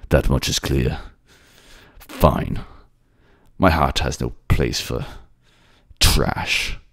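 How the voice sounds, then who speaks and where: A man's voice: rumbling low, fairly even intonation, drawn-out words, creaky, a bit bright, slightly rough, dry recording, clean studio sound, exaggerated voice. A man voicing an obsessive, volatile king in an audio romance drama, speaking with dramatic intensity and a put-on accent. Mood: scornful, bitter.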